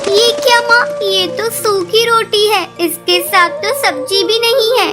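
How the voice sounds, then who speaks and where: A woman's voice: extremely high pitch, measured pace. A woman voicing a young, rejected girl bird in a cartoon story, plaintive and pleading. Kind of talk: dubbed cartoon story acting. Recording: music behind the voice.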